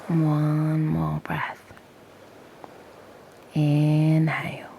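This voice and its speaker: A woman leading a guided meditation as host and teacher, speaking softly and breathily in a gentle, half-whispered voice with long, unhurried pauses. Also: sits low, monotone, creaky, slight background noise, poor audio. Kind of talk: guided meditation, slow and soothing.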